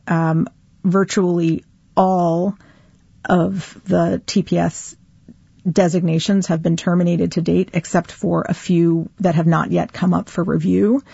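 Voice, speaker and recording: A woman lawyer answering on a radio interview, slow and earnest in her explanations. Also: lowish voice, gravelly, darkish tone, slightly rough, no echo, dull audio. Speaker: civil rights lawyer guest.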